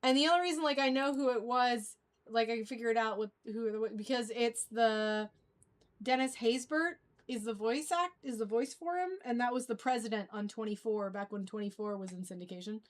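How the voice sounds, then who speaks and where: High-voiced woman chatting casually. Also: fairly quick. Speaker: livestream host. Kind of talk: casual fan chat.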